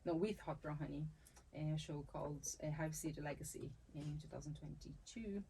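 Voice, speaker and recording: A woman with a Brazilian accent speaks in a low, gravelly voice with a warm, mellow tone. She talks thoughtfully in an interview, restarting phrases as she goes and laughing easily. A faint hum of room noise sits under her. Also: moderate pace, rough recording. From Salvador, Bahia, Brazil. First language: Portuguese. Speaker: dancer and capoeira instructor, interview guest. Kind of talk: conversational interview.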